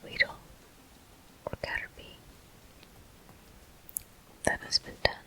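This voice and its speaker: A woman hosting a gameplay video in ASMR style, murmuring softly and gently as she comments. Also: rough recording. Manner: soft, gentle, unhurried murmuring. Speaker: gameplay host.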